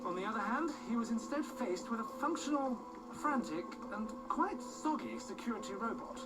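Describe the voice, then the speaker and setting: A man playing an omniscient, wry storyteller in a video game, with measured, theatrical, wordy scripted narration. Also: extremely high pitch, expressive. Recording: loud game music over narration. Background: loud game music.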